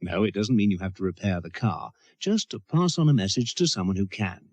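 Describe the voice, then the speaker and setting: A man's voice: fairly low pitch, sing-song, fairly quick, a little mellow, no room sound. A man reading scripted public information narration as an earnest, explanatory appeal.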